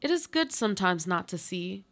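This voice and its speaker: A woman's voice: mid-range voice, very animated, normal speed, fairly bright tone. Trapped, plaintive woman acted in radio drama. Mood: pained, resigned. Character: trapped, injured woman buried in rubble, plaintive, resigned. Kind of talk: literary radio play monologue.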